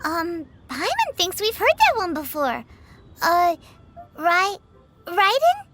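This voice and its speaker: A woman voicing a tiny, excitable, cheeky childlike fairy companion in a cartoonish video game style. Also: extremely high pitch, slow, heavy background noise, heavily acted. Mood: pondering. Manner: chirpy, talks about herself in third person.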